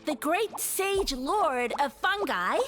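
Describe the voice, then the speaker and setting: A woman's voice: high voice, big pitch swings, slow pace, a bit bright, soft background music, exaggerated voice. A woman voicing a pompous mushroom sage in a video game, speechifying grandly and formally. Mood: haughty, self-satisfied. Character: pompous mushroom sage lord demanding worshippers.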